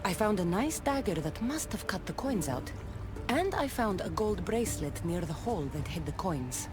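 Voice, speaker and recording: Actress doing wry tough warrior lady for a game, dry n direct, pitch moves around a lot, music under it. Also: big pitch swings, moderate pace, natural voice. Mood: confident, dry. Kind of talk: video game dialogue acting.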